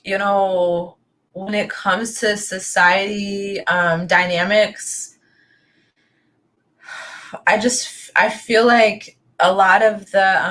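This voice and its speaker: A woman's voice: lowish voice, a bit bright. A young woman talking about her own life in a thoughtful, rambling way, full of fillers and restarts. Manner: thoughtful, rambling, heavy on fillers like "like" and "you know". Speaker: spiritual coaching guest or host. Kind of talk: reflective personal talk.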